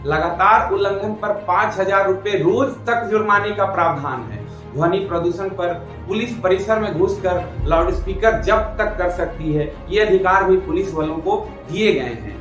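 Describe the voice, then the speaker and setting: A man's voice: high voice, a bit bright. A man reading scripted news commentary in a formal, emphatic way, repeating phrases for stress. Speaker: presenter. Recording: roomy echo with music behind. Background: background music.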